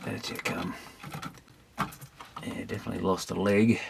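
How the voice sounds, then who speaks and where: A man's voice: low voice, little pitch movement, normal speed. An Australian man giving casual, rambling commentary as he works, offhand and musing to himself. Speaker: electronics repair hobbyist. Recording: clearly noisy recording with clicks.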